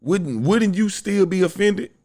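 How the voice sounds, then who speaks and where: A young man hosting a solo podcast, talking bluntly and profanely in a deep voice, telling a story with lots of animation. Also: lively intonation, measured pace, dark tone. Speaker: podcast host.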